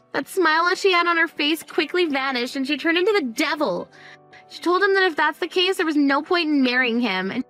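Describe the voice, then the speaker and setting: Woman voicing a high-pitched, pleading teenage girl. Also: sharp tone. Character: frightened, pleading teenage daughter, nearly eighteen. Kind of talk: dramatized story dialogue.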